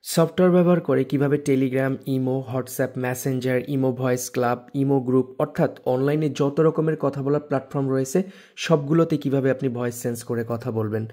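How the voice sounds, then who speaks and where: A man with a Bangladeshi accent hosts a casual tech video. His voice is mid-pitched and soft-edged, with a relaxed, mellow tone. He explains and promotes a product directly to viewers. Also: a little fast.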